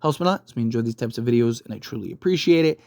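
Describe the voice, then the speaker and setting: An online commentator with a mid-pitched voice, recorded close and very dry. The delivery is a casual, opinionated rant, emphatic and conversational, punctuated by rhetorical check-ins. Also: lively intonation, racing, creaky, a little mellow, no room sound. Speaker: YouTube host giving film commentary.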